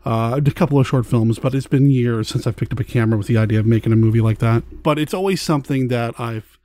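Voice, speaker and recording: A man's voice: mid-range voice, fast talker, dark tone, dry recording. A man hosting a podcast, chatting in an informal, self-deprecating way. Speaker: podcast host. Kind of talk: casual interview chat.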